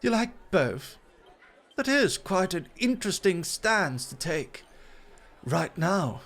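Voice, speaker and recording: A man's voice: high-pitched, very animated, slow, fairly bright tone, exaggerated voice. A man playing a suave, teasing dog host at a club in a flirty ASMR roleplay, with polished, coy, formal phrasing.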